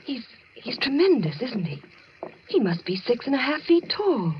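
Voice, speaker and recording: Fretful delicate wife, animated intonation, hesitant, radio thriller, muffled and noisy vintage recording. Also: female voice, high-pitched, moderate pace. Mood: uneasy. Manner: hesitant, nervous. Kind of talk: 1940s radio suspense acting.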